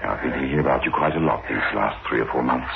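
An actor playing an anxious, protective middle-aged bachelor guardian in an old radio drama, with a hesitant, earnest, brooding delivery. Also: male voice, rumbling low, quick pace, gravelly, a little hiss or hum. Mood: awkward, concerned. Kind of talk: 1960s radio drama acting with confessional narration. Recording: dull old radio recording with music.

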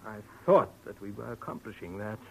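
An actor playing an earnest, anxious nursing-home doctor, formal and precise, in a 1940s radio drama with old-recording noise. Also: male voice, on the low side, animated, fairly quick, creaky, a little mellow, low-quality recording. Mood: worried. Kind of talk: 1940s radio detective acting.

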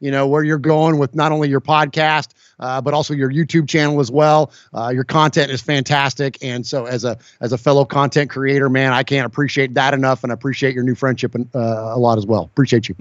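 A man's voice: medium pitch, level tone, brisk, few pauses, no room sound, muffled recording. A man in his forties, a guest on a podcast, speaking earnestly and from the heart with many stammered restarts. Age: 40s. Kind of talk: casual podcast chat.

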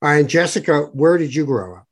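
A man's voice: on the high side, expressive, fairly quick. A man from Toronto hosting a podcast interview, chatty, with fillers and restarts. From Toronto, Canada. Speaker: podcast host. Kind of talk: conversational interview.

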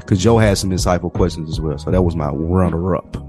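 A man's voice: deep voice, brisk, a little mellow, faint music behind. A man co-hosting a podcast, debating casually with a friend in informal, slangy speech. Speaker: podcast co-host.

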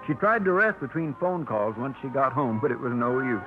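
Brief: man narrator, smooth storytelling, music bed, tinny old radio. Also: medium pitch, lively intonation, brisk. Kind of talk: scripted radio drama narration. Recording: tinny 1950s radio broadcast with music underneath.

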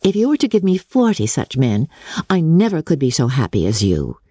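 A woman voicing a wry, affectionate, playful young Regency gentlewoman with a teasing, witty manner in an audiobook. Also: low voice, huge pitch swings, moderate pace, slightly rough, no room sound, clean studio sound, exaggerated voice.

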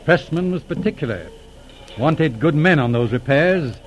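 Man acting shrewd radio police inspector, expressive delivery. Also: fairly high pitch, moderate pace, soft background music, natural voice. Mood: calmly probing. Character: shrewd, calm older police inspector, courteous but probing. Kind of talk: 1940s radio drama acting. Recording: old radio drama recording.

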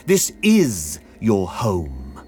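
A man voicing a sinister old male tailor who speaks slowly, emphatically and almost aggressively. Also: low-pitched, wildly swooping pitch, darkish tone, slight background noise, heavily acted. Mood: menacing.

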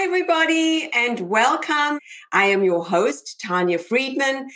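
Female host, medium pitch, conversational interview presenting. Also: fairly bright tone. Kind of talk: interview show hosting.

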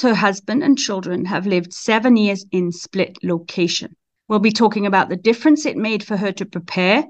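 A woman from Switzerland presenting and interviewing as a podcast host, in a slightly lo-fi recording. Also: medium pitch.

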